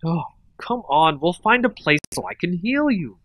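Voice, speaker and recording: A man's voice: high voice, very animated, moderate pace, a bit bright, dry recording. Man doing a snappish scornful sorceress. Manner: snappish, sarcastic. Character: sharp-tongued scornful woman necromancer.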